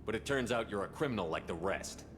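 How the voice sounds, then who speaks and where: Man playing a deep, brisk, tough commander. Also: animated, over music, close to an everyday voice. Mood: blunt. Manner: terse, blunt, firm. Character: tough, no-nonsense male military commander hero. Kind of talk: video game voice acting.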